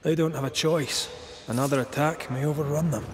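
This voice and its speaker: An actor as a high-voiced, gruff Scottish ghost hunter. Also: male voice, fairly even intonation, a little fast, noisy background, lightly acted. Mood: determined. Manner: blunt, sardonic. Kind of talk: dramatic video game dialogue.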